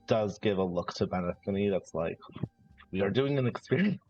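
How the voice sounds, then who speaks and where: A man with a fairly low voice casually talks through his character's actions in a tabletop game. He is wordy and tentative. The audio is a little dull, with faint music behind. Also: animated, moderate pace, darkish tone. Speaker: tabletop role-player. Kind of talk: casual third-person description of his character.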